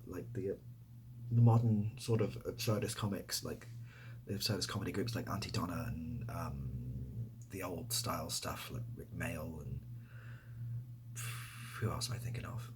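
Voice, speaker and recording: A young man with an English accent chatting softly in an ASMR style, hesitant and rambling, often dropping to a near-whisper. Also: low voice, steady tone, moderate pace, slight background noise, rough recording. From near Bath, England. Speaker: ASMR video creator. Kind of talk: softly spoken ASMR casual chat answering questions.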